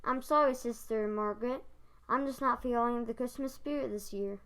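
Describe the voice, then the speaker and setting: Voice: medium pitch, normal speed, fairly bright tone, clear, resonant tone, natural voice. A child of about ten acting as a proud but discouraged young husband in a school radio play. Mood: downcast. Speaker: fourth grade student actor.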